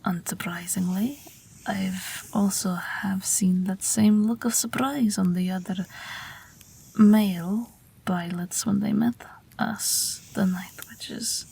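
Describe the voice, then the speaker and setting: A woman playing a gentle witch aviator in an audio roleplay, speaking in a soothing, breathy whisper as if at a bedside. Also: medium pitch, takes it slowly, exaggerated voice. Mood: wistful, amused. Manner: soft, soothing, hesitant, with gentle amusement. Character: gentle night witch aviator, old but youthful, soothing a patient. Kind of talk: whispered audio roleplay, intimate bedtime monologue.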